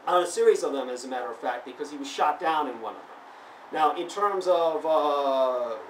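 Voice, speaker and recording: A man's voice: on the high side, expressive, moderate pace, fairly bright tone. Man born in Taiwan, lecturing style, heated rebuttal, picked up from a distance in a reverberant convention room, faint hiss. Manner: lecturing, grows heated and emphatic. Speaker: interviewee. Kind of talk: monologue answering online comments. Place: convention venue. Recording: roomy, distant mic, faint background noise.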